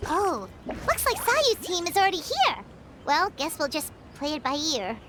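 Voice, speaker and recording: A tiny, eager fairy companion from a video game. The voice is extremely high and squeaky, swinging wildly in pitch while taking its time over the words. It sounds childlike and full of curiosity. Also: female voice, unhurried, very noisy, heavily acted. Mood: pondering. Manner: animated, inquisitive. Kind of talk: video game character acting. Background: background music.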